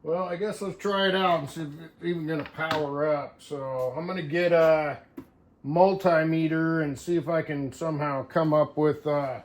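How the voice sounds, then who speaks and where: Casual man, slightly high voice. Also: steady tone, normal speed, a little hiss or hum. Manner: informal, rambling, thinking aloud. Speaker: video host. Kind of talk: casual hands-on show-and-tell.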